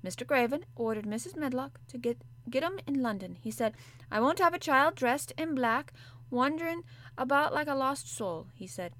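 A woman's voice: high-pitched, expressive, moderate pace, fairly bright tone, voice pushed up. Woman doing a Yorkshire housemaid. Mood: chatty. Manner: broad dialect, plain-spoken and homely. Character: blunt, good-natured young Yorkshire housemaid.

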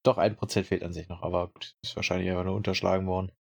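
A man's voice: low-pitched, little pitch movement, very fast, gravelly, darkish tone, husky. A young man from Brandenburg co-hosting a podcast, chatting casually and rambling with many fillers. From Brandenburg, Germany. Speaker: podcast co-host.

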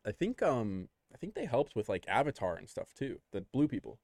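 A man's voice: mid-range voice, brisk, creaky, no room sound. Man bantering casually, dry close sound. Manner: excitable, repeats phrases for emphasis.

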